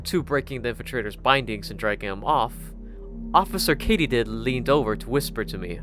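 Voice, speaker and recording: A man narrates first-person fiction in a scripted storytelling style. His intonation is very lively at a medium pitch, and music plays clearly underneath. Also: brisk. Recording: loud music bed underneath.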